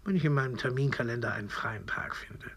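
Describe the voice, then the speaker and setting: Flippant, declamatory man, medium pitch. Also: fast, creaky, a bit bright, breathy edge. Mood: wry, evasive. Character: flippant man stalling his own death, grandly quoting. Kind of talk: absurdist German radio play acting.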